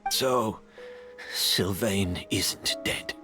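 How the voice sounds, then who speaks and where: An actor playing a defeated, unrepentant older master perfumer in a video game, deliberate, theatrical and brooding. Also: male voice, sits low. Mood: bitter, resigned. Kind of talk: dramatic video game acting. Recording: background music under the voice.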